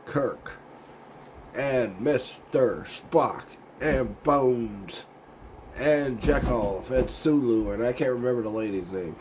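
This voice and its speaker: Hoarse man rambling casually through a cold. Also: medium pitch, slow, a little mellow, slight background noise. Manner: laid-back and meandering, loses his words, chuckles often. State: hoarse, raspy from a sinus cold. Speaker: YouTube show host. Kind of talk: casual rambling vlog chat.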